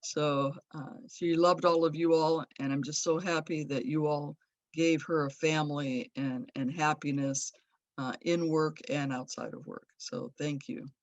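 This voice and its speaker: A woman's voice: sits low, steady tone, measured pace, no room sound, dull audio. A woman in her sixties from Los Angeles, sharing fond, rambling memories of an old friend over a video call. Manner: warm, rambling, with fond laughs. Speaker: memorial speaker. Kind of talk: personal remembrance. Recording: dry video call audio.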